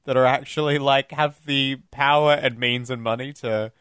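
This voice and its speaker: A man's voice: medium pitch, steady tone, normal speed, fairly bright tone, clear, resonant tone, no room sound, studio quality. A man co-hosting a film podcast, talking casually in a rambling, jokey way and swearing now and then. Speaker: podcast co-host.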